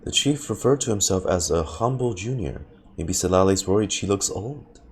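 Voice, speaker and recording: A man's voice: low voice, expressive, moderate pace. A man streaming a game with casual, laid-back, teasing reaction commentary.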